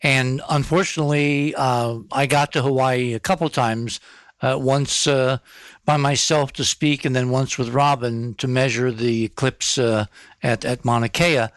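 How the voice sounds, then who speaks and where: A man's voice: medium pitch, steady tone, a little slow, dry recording. A man hosting a radio interview in a conversational style.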